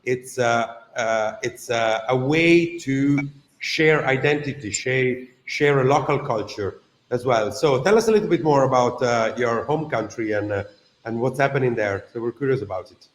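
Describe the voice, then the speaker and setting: A man's voice: medium pitch, moderate pace. A man in a mid-range voice interviews a guest, hesitating often and restarting his sentences. The sound is roomy and echoey, as if on a video call. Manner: hesitant, many "uh" fillers and restarts. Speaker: interviewer for a craft school. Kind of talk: interview questions and comments.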